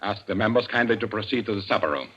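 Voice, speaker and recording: An actor playing the coldly menacing president of a criminal society in an old-time radio suspense play, formal and authoritative. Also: male voice, fairly low pitch, lively intonation, fast, lo-fi sound, heavy background noise, close to an everyday voice. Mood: grave, formal.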